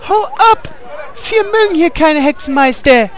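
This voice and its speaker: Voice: high voice, animated, measured pace. A performer voicing a cheeky, childish, whiny little creature in a comic radio play. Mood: hostile.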